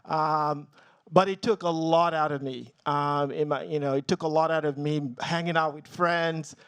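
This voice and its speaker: A man in his forties from Nigeria, telling his career story on a panel, earnest, with many pauses and ums, getting a little emotional. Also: a little high, steady tone, fairly bright tone, smooth, no echo. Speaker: tech team leader on a panel.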